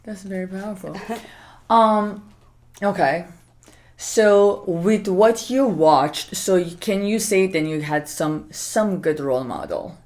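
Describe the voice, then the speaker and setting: Low-voiced woman hosting an interview. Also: takes it slowly, darkish tone. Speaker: interview host. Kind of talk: conversational interview.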